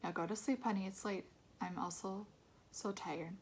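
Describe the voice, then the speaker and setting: A woman voicing a loving, gentle mother in a storybook, speaking in a soothing, affectionate manner. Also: mid-range voice, fairly even intonation, normal speed, breathy edge. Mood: tired, tender.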